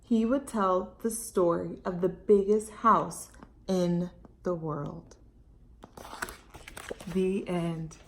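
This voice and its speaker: A woman's voice: fairly low pitch, fairly even intonation, drawn-out words, long pauses, slight background noise. A woman reading a picture book aloud to children expressively, stretching words for emphasis and speaking to young listeners directly. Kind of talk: expressive read-aloud with chatty asides and questions.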